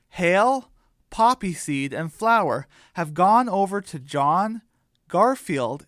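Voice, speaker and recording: A narrator reading a scripted comic radio drama in a deliberate storybook manner. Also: medium pitch, a bit bright, no room sound, studio quality.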